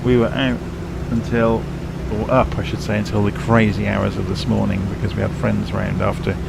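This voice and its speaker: A man streaming a flight simulator, explaining cockpit instruments in a rambling, self-correcting way, his voice croaky and tired from a late night. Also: lowish voice, level tone, normal speed, heavy background noise. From England. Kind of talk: casual live-stream commentary.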